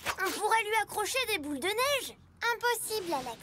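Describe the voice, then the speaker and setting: An actress voicing an eager, playful childlike little truck in a children's cartoon, with excitable delivery. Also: female voice, extremely high pitch, fairly quick, heavy background noise. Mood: curious. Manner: excitable, exclamatory. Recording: loud music under the voices. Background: music.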